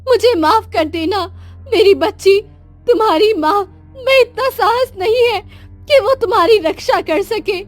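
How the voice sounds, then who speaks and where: A woman's voice: very high-pitched, unhurried, soft background music. A woman playing a sorrowful, fearful young queen and tender mother in a cartoon story. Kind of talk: Hindi cartoon moral story acting.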